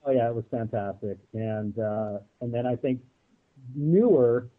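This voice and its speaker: A man speaks casually as an interview guest, with a mid-pitched voice. He is halting and reflective, and the sound is narrow like a telephone connection. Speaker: guest, audio drama producer. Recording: narrow-band phone-like line.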